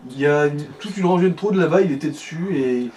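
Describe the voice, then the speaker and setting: A man's voice: fairly high pitch, quick pace, a little mellow. A man giving casual game commentary as a co-host, explaining things informally with hesitant phrasing. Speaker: let's play co-commentator, guide. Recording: distant mic, faint background noise.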